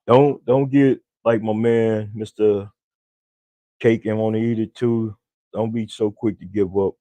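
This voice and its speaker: A man co-hosting a podcast with his wife, with a deep voice, chatting casually and talking straight to listeners. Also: fairly even intonation, measured pace, mellow, no room sound. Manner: laid-back, talks straight to listeners as y'all. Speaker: podcast co-host.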